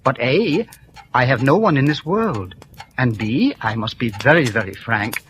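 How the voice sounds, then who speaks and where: Here is a frail, lonely old gentleman in a vintage radio play, polite and a little hesitant, sometimes stumbling over his words. He has a middle-pitched voice. A steady hiss runs under him from the old broadcast recording. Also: a little slow. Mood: mild. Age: older. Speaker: elderly insurance policyholder. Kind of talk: 1940s radio drama acting.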